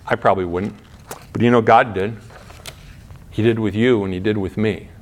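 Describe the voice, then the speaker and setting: A middle-aged man giving a sermon in a conversational way, asking rhetorical questions, restarting his sentences and shifting between quiet asides and raised points. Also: lowish voice, steady tone, normal speed. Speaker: pastor.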